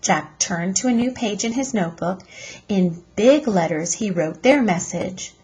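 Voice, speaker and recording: Woman, husky tone, slow pace, deliberate expressive storytelling, teacher reading to children. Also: medium pitch, low-quality recording. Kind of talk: children's chapter book read-aloud.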